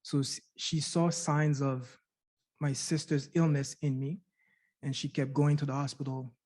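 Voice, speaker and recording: Middle-aged man, mellow dark voice, level and flat, earnest conference testimony. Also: a little high, a little slow. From Canada. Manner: earnest, repeats words, asks rhetorical questions. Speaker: conference speaker sharing his patient story. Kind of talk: personal testimony talk. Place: university conference room.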